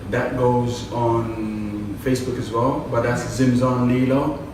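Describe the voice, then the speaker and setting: A man's voice: medium pitch, flat delivery, takes it slowly, very echoey, distant mic, noisy background. A man from the Congo whose first language is Lingala, talking casually as a guest actor with many fillers. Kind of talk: casual interview.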